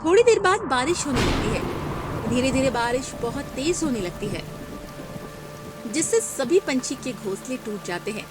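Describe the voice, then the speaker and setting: A woman narrating a children's cartoon story in a clear storytelling way. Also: high voice, sing-song, moderate pace. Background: background music.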